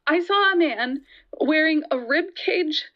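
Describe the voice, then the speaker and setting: Actress as haunted young female radio caller. High voice, earnest and halting, guilt-ridden, lo-fi sound. Audio drama confession. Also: lively intonation. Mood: insistent, distressed. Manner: halting, earnest, emphatic repetition, sighing. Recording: dull, lo-fi caller line.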